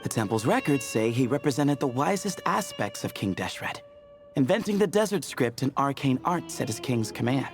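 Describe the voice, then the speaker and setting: A man voicing an easygoing, teasing young temple scholar in a video game, explaining lore in a clear, playful way. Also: mid-range voice, sing-song, normal speed. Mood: teasing, upbeat. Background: soft game music.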